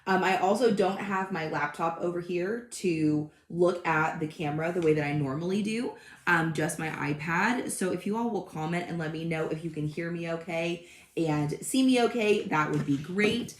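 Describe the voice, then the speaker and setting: A woman in her thirties hosting a casual craft livestream, chatty and friendly, with a slightly hoarse voice. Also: lowish voice, normal speed, roomy sound. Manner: chatty and friendly, greets live commenters by name. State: slightly hoarse, recovering from illness. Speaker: craft channel host. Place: home craft room.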